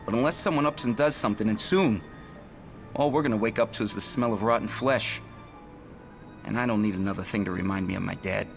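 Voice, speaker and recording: A man's voice: lowish voice, brisk, vocal fry, soft background music. An actor playing a naive, rattled small-town policeman in a video game, nervous, folksy and rambling. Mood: gloomy, uneasy. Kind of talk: video game character monologue.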